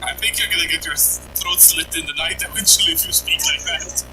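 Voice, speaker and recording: A man whose first language is Estonian banters on voice chat, explaining things in a wry way and restarting his sentences often. His pitch moves a lot, and heavy background noise comes through the line. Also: wildly swooping pitch, fairly quick. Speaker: friend in voice chat.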